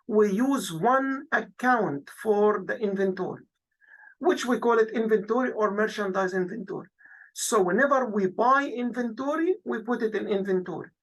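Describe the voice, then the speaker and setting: A woman's voice: medium pitch, low-quality recording. A woman giving an explanatory lecture as a teacher, walking through examples step by step and repeating points in parallel phrases. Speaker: accounting lecturer.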